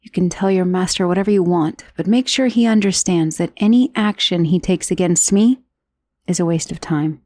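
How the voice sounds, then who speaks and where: A woman playing a powerful, self-assured female vampire, protective and possessive of a lover, in an intimate ASMR romance roleplay that often drops toward a breathy whisper. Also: lowish voice, normal speed, dark tone, no room sound, lightly acted. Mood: cold, commanding.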